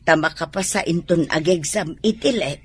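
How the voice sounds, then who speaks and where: A woman playing a proud, contented wife and mother with a raspy voice and an Ilocano Filipino accent in a radio drama. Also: fairly low pitch, normal speed.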